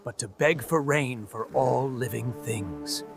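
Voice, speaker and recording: A man's voice: medium pitch, slow pace, creaky. A man telling a fairy tale in a solemn, dramatic storytelling style. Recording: loud music behind the voice.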